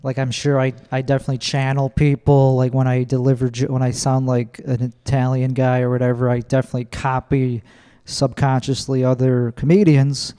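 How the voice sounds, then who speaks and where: A man's voice: medium pitch, little pitch movement, fairly quick, dark tone, clean tone. A man, a stand-up comedian guest on a podcast, speaking bluntly and candidly in an offhand way. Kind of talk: casual conversational interview.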